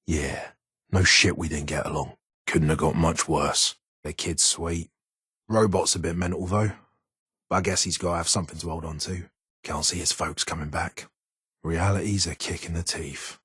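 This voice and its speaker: Older ghoul, British accent, low pitch, extremely husky, irritable, curt, video game dialogue. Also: male voice, dry recording, studio quality. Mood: guarded, weary. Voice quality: raspy, gravelly. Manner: curt, guarded, grumbling. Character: gruff, irritable older male ghoul weapons engineer.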